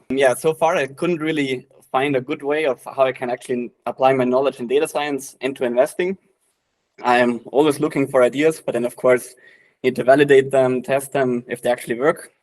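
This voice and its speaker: A man in his late twenties, speaking at a middle pitch as an interview guest. He talks in a loose, relaxed way and fills his pauses with "uh" and "yeah". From Austria. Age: late 20s. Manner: relaxed, many fillers like "uh" and "yeah". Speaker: private investor guest. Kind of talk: casual interview answers.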